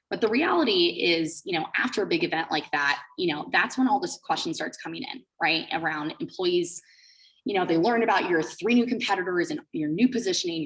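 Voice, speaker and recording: Woman founder doing a casual online talk, lowish voice, lots of like and you know. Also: fairly bright tone, poor audio. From Switzerland and the Bahamas. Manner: informal, explanatory, peppered with 'like' and 'you know'. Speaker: startup co-founder presenting as guest speaker. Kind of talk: conversational online presentation.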